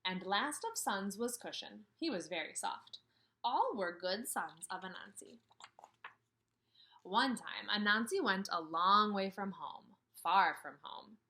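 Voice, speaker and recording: A woman in her thirties teaching and reading aloud to kindergarteners, expressive, with questions and emphatic pauses. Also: medium pitch. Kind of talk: instructional read-aloud for young children. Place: indoors, room with a brick wall.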